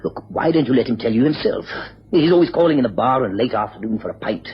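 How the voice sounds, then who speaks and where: A muffled radio drama recording. A man plays a plain-spoken barman with a very husky voice, sharing gossip cautiously in short colloquial phrases. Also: medium pitch, lively intonation, fast. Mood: confiding. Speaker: actor in a radio mystery.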